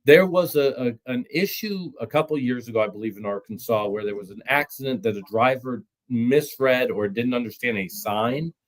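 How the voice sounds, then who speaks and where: Mid-pitched male guest, matter-of-fact and explanatory, occasional stammering restarts. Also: moderate pace, hardly any pauses. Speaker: trucking recruiting executive, guest. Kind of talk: podcast discussion.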